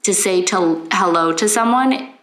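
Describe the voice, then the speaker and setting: A woman's voice: medium pitch, measured pace, fairly bright tone. A young woman co-hosting a podcast, talking in a reflective, casual way. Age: young adult. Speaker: podcast co-host. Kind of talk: casual chat. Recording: echoey room.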